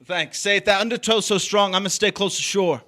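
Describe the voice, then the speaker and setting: A man's voice: high-pitched, fairly even intonation, brisk, bright, forceful tone. An actor playing a cocky drifter in a stage drama, needling and flirting with a married woman. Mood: casual, probing. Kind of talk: stage drama performed online, with first-person narration.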